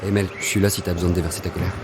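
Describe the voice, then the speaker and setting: A man's voice: low voice, level tone, rapid-fire, background noise, close to an everyday voice. A man playing a casual, supportive young athlete in a French audio drama. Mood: reassuring.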